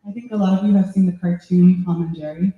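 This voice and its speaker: A man interprets a speech passage by passage. His voice is high, his delivery measured and a little halting. The sound is thin as a phone line and very echoey. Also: fairly even intonation. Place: indoor public meeting hall.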